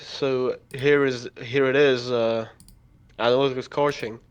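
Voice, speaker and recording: A man talking casually in a group voice chat, halting and repeating himself as he goes. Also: mid-range voice, steady tone, clear, resonant tone. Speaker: fan fiction author and reader in a readalong.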